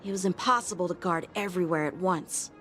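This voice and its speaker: A woman's voice: on the low side, a little fast, a bit bright, natural voice. An actress playing an earnest, easily exasperated young swordsman in a video game. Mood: defensive. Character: indignant, earnest young man, a proud sword trainee. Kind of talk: scripted video game dialogue. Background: faint game music.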